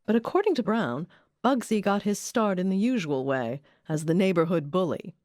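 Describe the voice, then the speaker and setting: Lower-voiced woman narrating, dry studio sound. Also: animated, moderate pace, darkish tone, no echo. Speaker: documentary narrator. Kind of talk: scripted narration. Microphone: close studio microphone.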